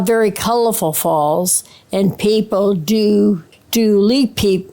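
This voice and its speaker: Mellow elderly woman with a Maine accent. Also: mid-range voice. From Maine, USA. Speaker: interviewed grandmother. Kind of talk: casual conversational interview.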